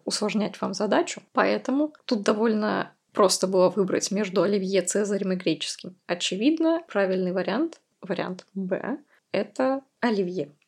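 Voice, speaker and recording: A woman's voice: mid-range voice, a little mellow, slightly rough. A woman hosting a podcast, going through quiz answers and explaining them in a chatty, explanatory way. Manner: chatty, explanatory, with light laughs. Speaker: podcast host.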